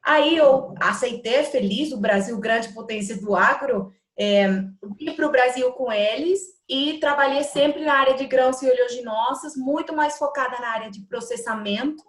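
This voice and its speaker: A woman, answering interview questions in a measured way, often pausing to hesitate. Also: high voice, roomy sound. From Colombia. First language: Spanish. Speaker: guest, trading company executive.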